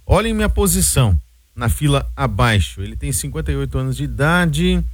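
A man's voice: mid-range voice, animated, a little fast, no echo. A man with a Brazilian accent co-hosting a radio show, reading out listener messages in a casual way. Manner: casual, reads messages aloud. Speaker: radio co-host.

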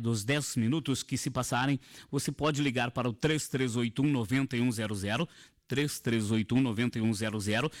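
Unhurried radio host. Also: male voice, mid-range voice. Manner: unhurried, repeats phrases and numbers. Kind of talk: live radio interview. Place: radio studio.

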